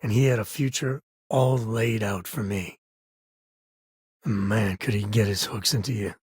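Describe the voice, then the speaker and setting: An actor playing a rugged, easygoing male space ranger in a video game, with a husky voice and a wry, folksy, conversational manner. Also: fairly low pitch, steady tone, unhurried, no echo, clean studio sound. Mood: reflective. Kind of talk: expressive game companion dialogue.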